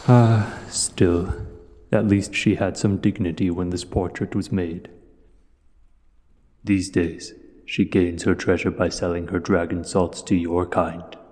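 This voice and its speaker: Man voicing a deep, cultured dragon in cavernous reverb. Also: flat delivery, darkish tone. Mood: disdainful. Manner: measured, formal, haughty storyteller. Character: proud, cultured male dragon hoarder, huge and measured. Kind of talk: audio roleplay, scripted monologue to the listener.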